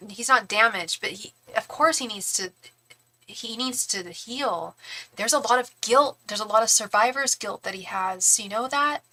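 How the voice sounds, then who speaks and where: A woman's voice: on the high side, sharp tone. A young woman doing a casual live-stream tarot reading, chatty and rambling as she talks straight to her viewers. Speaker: tarot reader and psychic.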